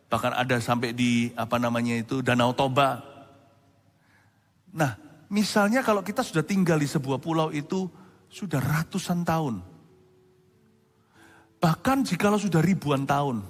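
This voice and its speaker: Male pastor, 40s, high-pitched, lively storytelling sermon, reverberant hall. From Indonesia. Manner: animated storytelling, conversational asides to the congregation.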